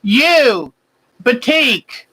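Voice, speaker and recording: Slow, bright-voiced woman calling bingo. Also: fairly high pitch, big pitch swings, husky. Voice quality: big voice. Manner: calls each clue twice, slow and deliberate. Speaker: host calling a quilting bingo game. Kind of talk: livestream game calling.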